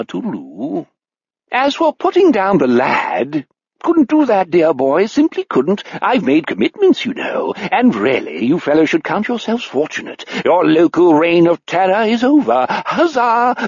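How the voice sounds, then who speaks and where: A man voicing a ghoulish one-eyed lord who speaks in a bogus plummy English aristocrat voice, high and breezily mocking. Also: darkish tone, husky, dry recording, dull audio, exaggerated voice.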